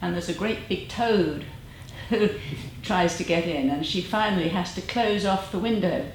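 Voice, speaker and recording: A woman's voice: on the low side, unhurried. A woman group member telling a story informally, in the manner of a storyteller who acts out what she quotes. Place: meditation group meeting room. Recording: very echoey room, faint noise.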